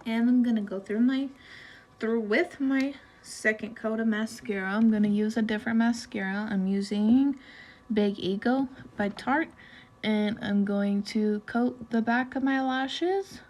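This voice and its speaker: A young woman walking through a makeup tutorial in a relaxed, chatty way, with loose fillers as she goes. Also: fairly high pitch, measured pace. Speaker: beauty vlogger. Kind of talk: casual makeup tutorial narration.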